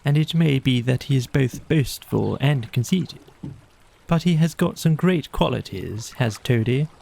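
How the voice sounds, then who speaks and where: Storybook water rat, male, breezy and good-natured, mellow with a creaky edge man acting. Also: medium pitch, animated, a little slow, slight background noise. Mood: fond, chatty. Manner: breezy, chatty, enthusiastic. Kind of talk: storybook character voice in an audio play.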